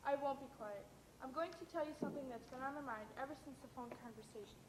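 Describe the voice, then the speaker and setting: A woman's voice: sits high, quick pace, natural voice. A woman playing a playwright's anxious, protective and outspoken wife in an amateur stage play, speaking emphatically. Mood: insistent. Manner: emphatic, insistent. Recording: echoey stage recording, clear noise.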